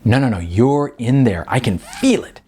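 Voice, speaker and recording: A man voicing an excitable young mother who gushes breathlessly in an audiobook. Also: mid-range voice, moderate pace, husky. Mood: thrilled. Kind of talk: audiobook character voice.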